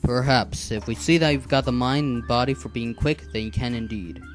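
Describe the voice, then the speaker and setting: A man playing a patient, earnest animal assassin mentor in an animated drama, explaining things in a measured, instructive way. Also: medium pitch, lightly acted. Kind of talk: scripted animated drama dialogue. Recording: background music under the voice.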